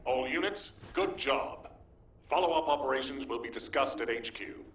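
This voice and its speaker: An actor playing a serious, steady military commander in a sci-fi game, speaking in a measured, authoritative way. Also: male voice, medium pitch, slight background noise, close to an everyday voice. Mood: commanding. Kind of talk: scripted game voice acting, briefing.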